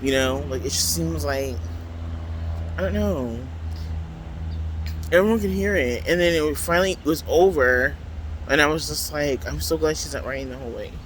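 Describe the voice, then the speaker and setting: Man vlogger, loud and a touch high-pitched, rambling casual chat on a phone outdoors, faint traffic under it. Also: normal speed, long pauses. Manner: rambling, chatty, full of fillers. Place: outdoors at a transit stop by passing trains. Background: faint city traffic noise.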